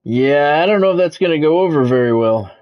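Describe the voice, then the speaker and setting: A man's voice: fairly high pitch, animated, a little fast, dull audio. A middle-aged man giving live play-by-play of a football video game, mixed with offhand, conversational chat and casual swearing.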